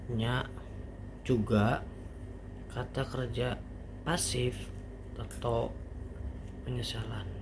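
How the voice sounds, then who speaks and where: A man giving an informal language lesson as a teacher, explaining haltingly step by step with casual asides. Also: medium pitch. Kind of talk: informal online grammar lesson. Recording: faint background noise.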